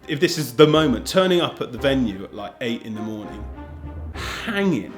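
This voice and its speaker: Man, mid-range voice, casual interview, animated, self-deprecating, music behind. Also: medium pitch, a little fast. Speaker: audio drama director. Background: background music.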